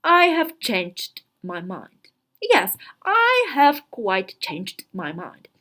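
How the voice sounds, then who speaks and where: A woman giving a dramatic literary reading of a diary, theatrical and deliberate, pausing between short phrases. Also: mid-range voice, huge pitch swings, sharp tone. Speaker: reader of a literary story.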